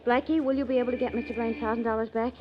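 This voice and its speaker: An actress playing a bright, teasing young woman companion in a 1940s radio drama, with a chirpy manner. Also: fairly high pitch, steady tone, rapid-fire, highs cut off, lightly acted.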